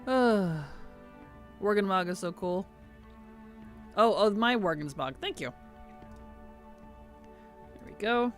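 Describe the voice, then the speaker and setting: A chatty streamer talks through a gameplay session at a mid-range pitch, sucking on a cough drop. The throat sounds a little rough, and faint game music plays behind the voice. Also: expressive, takes it slowly, long pauses, fairly bright tone. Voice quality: sensitive, slightly rough throat. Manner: chatty, answers chat while playing. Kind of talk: casual gameplay chat.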